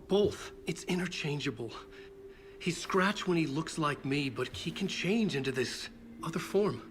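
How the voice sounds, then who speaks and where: A man's voice: a little high, expressive, measured pace, slight background noise. An actor playing a weary, shaken male novelist who stumbles and repeats words when unsure. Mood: earnest. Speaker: writer.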